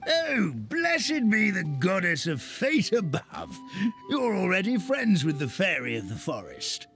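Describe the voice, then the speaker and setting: Lively, folksy old grandpa. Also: male voice, sits high, measured pace, creaky, exaggerated voice. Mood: joyful, grateful. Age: older. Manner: folksy, rambling, exclamatory. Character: kindly forgetful old origami-folk grandpa, cheerful and folksy. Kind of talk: animated video game character acting. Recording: game music playing behind the voice.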